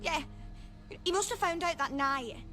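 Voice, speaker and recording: A scared teenage girl in a radio drama, confiding breathlessly. The voice is very high, with stammered starts. Music plays clearly behind the words. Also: expressive, normal speed, sharp tone, exaggerated voice. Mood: frightened, confessing. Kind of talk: naturalistic radio drama acting.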